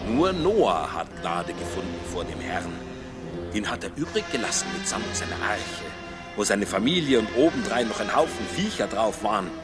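An actor playing a fiery, scolding Bavarian village priest who preaches doom in a radio drama. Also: male voice, on the low side, big pitch swings, normal speed. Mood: solemn. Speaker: pastor. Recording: music behind the voice.

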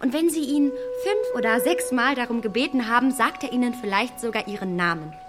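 Casting: actress as self-assured young lady of period radio drama, fairly high voice, smooth persuader, music underneath. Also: expressive, natural voice. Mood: soothing. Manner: poised, measured, insistent. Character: confident, manipulative young gentlewoman, smoothly persuasive.

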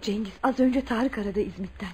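A woman's voice: medium pitch, brisk, darkish tone, slight background noise, natural voice. An actress playing a concerned, protective motherly woman in a radio drama, with an earnest, emphatic delivery. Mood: earnest reporting.

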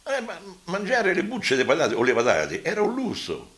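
A man's voice: fairly high pitch, wildly swooping pitch, moderate pace, a bit bright. An older man giving a spoken recollection as a witness, repeating phrases for emphasis. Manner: emphatic repetition. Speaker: war deportee giving testimony.

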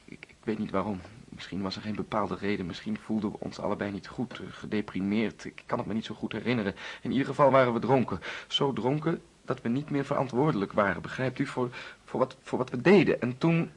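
A husky young man in a radio play, tormented by guilt and confessing haltingly. His words repeat and catch, with an intense, accusing edge, and the sound is unclear and raspy. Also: on the low side. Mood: haunted confession. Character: anguished young man confessing to causing his friend's death. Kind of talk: radio drama acting.

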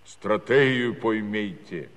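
An actor playing a folksy, self-important Cossack village judge who speechifies in garbled rustic officialese with a southern Russian Don dialect. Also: male voice, lowish voice, takes it slowly. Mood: lecturing. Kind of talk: theatrical musical comedy monologue. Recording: reverberant 1970s studio recording over music.

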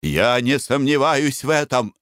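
A man voicing a nervous young gentleman who stammers and speaks slowly and hesitantly. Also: medium pitch, lively intonation, no room sound, studio quality, voice pushed up. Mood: grim.

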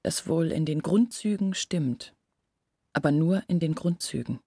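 An actress playing an earnest village woman in a radio play, explaining things in a measured, husky voice. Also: low-pitched, animated, mellow, no echo, exaggerated voice. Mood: earnest, reflective. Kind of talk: literary radio play monologue.

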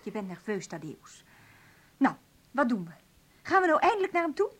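A woman's voice: a little high, big pitch swings, moderate pace, breathy edge. An actress playing a proud, insistent woman pressing her partner in a radio drama, with a challenging, sarcastic edge. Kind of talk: radio play acting.

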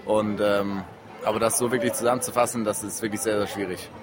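Young man, lowish voice, flat intonation, casual interviewee, music behind. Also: a little fast. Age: young adult.

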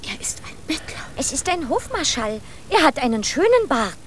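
A woman's voice: high-pitched, big pitch swings, slight background noise. An actress playing a bossy, confident little girl who is a princess in a children's radio play. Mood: commanding. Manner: commanding, brisk, self-assured.

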